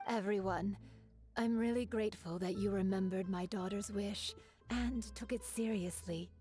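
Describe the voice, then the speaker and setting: A woman voicing a grateful widowed mother who is soft-spoken and courteous. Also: medium pitch, steady tone, normal speed, natural voice. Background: game music.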